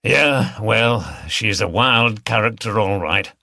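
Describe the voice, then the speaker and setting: A man's voice: fairly low pitch, slow, gravelly, bright, forceful tone, no room sound, studio quality, full character voice. A man voicing an easygoing, plain-spoken innkeeper who banters with staff and regulars in an audiobook. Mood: bantering. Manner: bantering, matter-of-fact.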